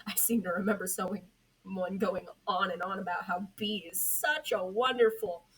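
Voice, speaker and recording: A woman's voice: medium pitch, moderate pace, a bit bright, breathy edge. A woman voicing a mischievous, gleeful teenage boy ghost king in an amateur fan fiction reading, cheeky, drawling and teasing. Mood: cheeky, taunting.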